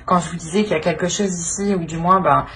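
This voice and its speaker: A woman giving an informal tarot reading, speaking haltingly as she searches for words and often clears her throat. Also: fairly low pitch, steady tone, fairly bright tone, a little hiss or hum. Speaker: tarot reader.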